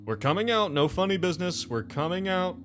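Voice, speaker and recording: A man's voice: high voice, expressive, brisk, voice pushed up. A man playing an angry male federal agent in a tabletop game, insistent and indignant. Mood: wary, insistent. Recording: music playing behind the voice.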